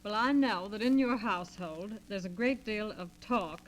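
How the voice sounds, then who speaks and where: A woman in a 1940s radio discussion, speaking in a halting, measured, dryly self-deprecating way. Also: medium pitch. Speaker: guest debater. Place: radio studio, around a table. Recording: noisy vintage transcription disc with hum.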